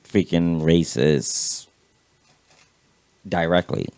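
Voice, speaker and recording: Raspy low-voiced man in casual chat. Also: very slow, a little mellow. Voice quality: raspy, vocal fry. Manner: rambling, repeats words, swears freely. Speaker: podcast co-host.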